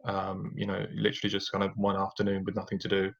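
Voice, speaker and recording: Low-voiced man with dull lo-fi sound. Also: monotone, fast, gravelly. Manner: reflective, many fillers and restarts, occasional rueful laughs. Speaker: podcast guest. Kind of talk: candid personal story.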